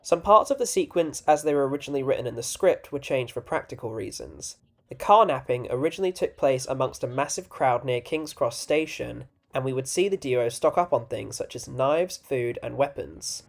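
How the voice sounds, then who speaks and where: A man's voice: medium pitch, expressive, normal speed, vocal fry. Male reviewer narrating with wry asides. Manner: informal, opinionated, wry. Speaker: video essay reviewer and narrator. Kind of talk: scripted narration with casual opinion asides.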